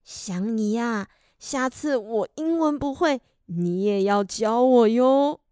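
A woman's voice: fairly high pitch, lively intonation, takes it slowly, mellow, clean tone, dry recording, studio quality. A woman acting a caring, admiring female character in a children's radio drama. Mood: gushing, friendly. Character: caring mother and gushing elderly woman friend.

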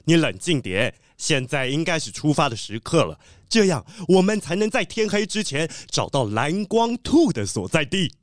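A man playing an excitable, fretful treasure collector leading an expedition, fussy and dramatic, in a children's audio drama. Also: a little high, normal speed, few pauses, no room sound, clean studio sound. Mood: placating.

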